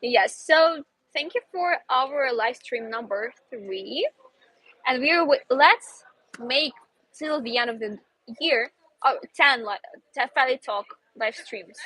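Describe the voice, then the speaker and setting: A woman's voice: sits high, lively intonation, takes it slowly, sharp tone, breathy edge, no echo, rough recording. A young woman with a Ukrainian accent hosting a casual outdoor live stream, chatty and upbeat, sometimes searching for English words. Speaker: host, English teacher. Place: outdoors in a city square.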